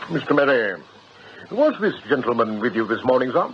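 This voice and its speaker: An actor playing a polite, patient detective sergeant in a radio drama, official and courteous. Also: male voice, medium pitch, very animated, normal speed, lightly acted. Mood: patient, formal. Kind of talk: British radio drama acting. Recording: muffled radio drama recording, faint hiss.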